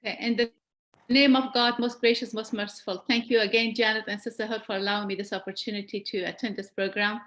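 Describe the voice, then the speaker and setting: A woman's voice: mid-range voice, little pitch movement, fast, a bit bright. A woman in her forties, born in Afghanistan, giving an earnest presentation over a video call with small restarts. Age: 40s. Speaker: guest presenter. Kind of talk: webcast presentation.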